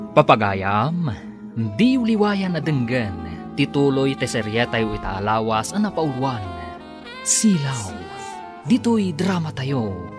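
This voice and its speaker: A man giving the scripted closing announcement and credits of a radio drama. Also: medium pitch. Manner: measured, announcing.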